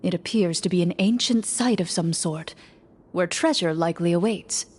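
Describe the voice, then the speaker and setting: A woman playing a composed, reserved duelist who narrates as a tabletop game master in a video game, measured and solemn. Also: fairly low pitch, darkish tone, slight background noise, natural voice. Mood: solemn, calm.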